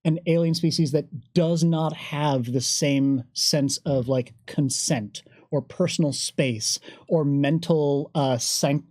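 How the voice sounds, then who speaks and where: A woman speaks as a panelist in casual conversation, with a fairly low voice for a woman. Her delivery is careful and measured, and the recording is dry. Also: a little mellow, no echo. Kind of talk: casual explanatory chat.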